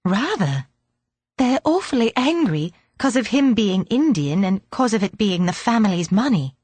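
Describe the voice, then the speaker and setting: A woman's voice: mid-range voice, sing-song, moderate pace, darkish tone, breathy edge, no room sound. A woman reading a storybook voices a polite, eager schoolgirl who is curious and well-mannered.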